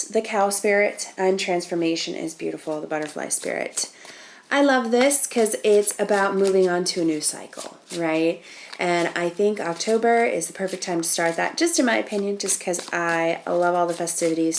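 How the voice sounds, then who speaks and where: A woman with a fairly low voice reads tarot cards for her channel. She sounds nasal and sniffly from a cold. Her delivery is chatty, with plenty of ums and restarts as she works through each card. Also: normal speed, a little hiss or hum. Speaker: tarot reader and channel host. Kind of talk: casual tarot reading to camera.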